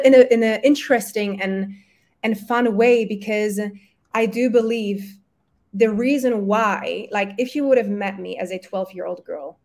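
A woman's voice: mid-range voice, gravelly, darkish tone. Woman, native German and Polish speaker, podcast guest, earnest, motivational, mid-range voice. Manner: earnest, motivational, quick self-corrections. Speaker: guest, women's health coach. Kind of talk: casual podcast conversation.

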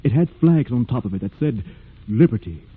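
A man's voice: medium pitch, expressive, fairly quick, husky. A man acting an earnest, fearless former slave and freedom fighter in a solemn, declamatory 1940s radio drama style. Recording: muffled, noisy vintage radio drama recording.

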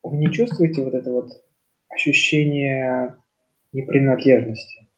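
A man's voice: mid-range voice, fairly even intonation, measured pace. A young man from Kazakhstan leading a casual discussion, talking in a rambling way with lots of fillers and restarts. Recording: slightly dull lo-fi sound.

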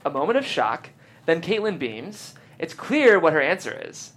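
A woman's voice: sits low, very animated, moderate pace. A woman hosting a podcast and reading scripted stage directions as narrator. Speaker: host and stage-direction reader.

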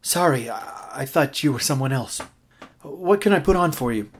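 An actor playing a rookie late-night radio DJ in a horror audio drama, jittery, hesitant and prone to stammering. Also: male voice, medium pitch, lively intonation, moderate pace, husky. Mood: flustered, apologetic.

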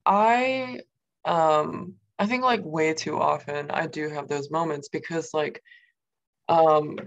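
Young woman with a creaky, rambling voice. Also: deep voice, takes it slowly, highs cut off. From New York, USA. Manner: rambling, many fillers and "like". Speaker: guest poet. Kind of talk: casual interview.

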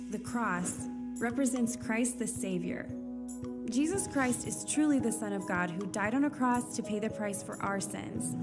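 Female voice-over, scripted and upbeat, slightly high, with loud music underneath. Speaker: video narrator. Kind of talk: scripted narration.

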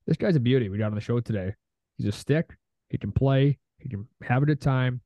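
A man's voice: mid-range voice, a little fast, no echo. A man hosting a golf podcast in casual, slangy, informal chat. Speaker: podcast host. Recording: dull lo-fi dry audio.

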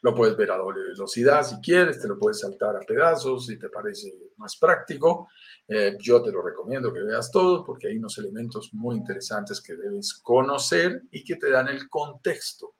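A man's voice: on the low side, expressive, moderate pace. A middle-aged man with a Colombian accent, presenting a live online talk on property investment, explaining step by step to the viewer with frequent "eh" fillers. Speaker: real estate investment presenter.

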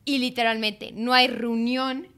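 A woman, co-hosting a casual podcast and telling a funny story in a chatty, animated way. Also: a little high, unhurried, clean tone. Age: 20s. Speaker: podcast co-host.

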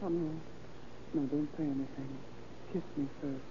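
A woman's voice: deep voice, fairly even intonation, unhurried. A tinny, hissy old radio recording carries a gangster's sweetheart. She is adoring and compliant, speaking in the intimate manner of a 1930s crime drama.

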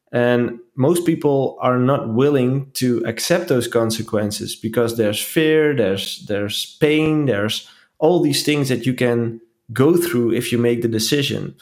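A man's voice: medium pitch, a little mellow. A man talking earnestly in a casual podcast chat, often restarting and repeating phrases. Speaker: podcast co-host.

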